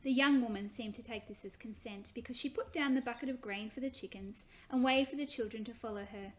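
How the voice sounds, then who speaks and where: A woman reading her own children's book aloud in an expressive, animated way. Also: a little high, brisk.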